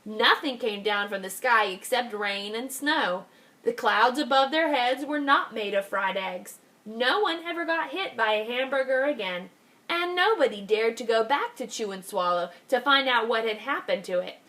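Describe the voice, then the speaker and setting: Kindergarten teacher, woman, fairly high voice, expressive storybook read-aloud with slowed emphasis. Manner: expressive, slows down on key phrases. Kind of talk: reading a picture book aloud.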